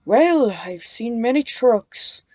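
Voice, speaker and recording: A mild, friendly male engine who answers calmly, voiced by a woman reading aloud. He speaks slowly, close to her own voice, in a dry, close sound. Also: on the high side, no echo, heavily acted.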